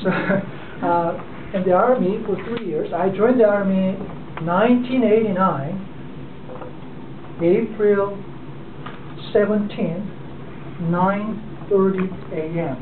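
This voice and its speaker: A man with a Korean accent speaks haltingly, with frequent fillers and restarts, as he gives a speech to a club. He sounds far from the microphone in an echoey room. The sound is narrow and tinny, like a phone line, with clear noise underneath. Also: sits high. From South Korea. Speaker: club member giving a speech. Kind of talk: unprepared speech. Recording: distant, echoey, phone-like and noisy.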